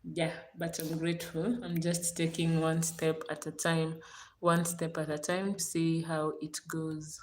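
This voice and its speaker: A woman from Kenya with a Kenyan accent, chatting casually on a livestream, warm and gracious toward her viewers and repeating her thanks again and again. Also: low voice, completely flat delivery, unhurried, roomy sound, slight background noise. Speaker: livestream host.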